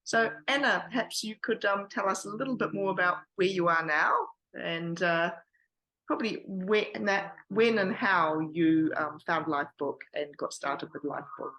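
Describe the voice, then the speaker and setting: A woman hosts a members' workshop interview over a video call, her voice bright and forward. She asks her questions casually, pausing and searching for words. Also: lowish voice, rough recording.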